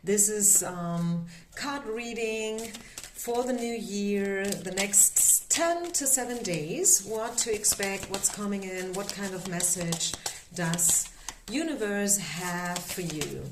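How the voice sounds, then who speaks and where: Woman, German accent, card-reader host; slow and reassuring, echoey room. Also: mid-range voice, noisy background. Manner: unhurried, gently reassuring, frequent filler words. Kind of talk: tarot reading vlog.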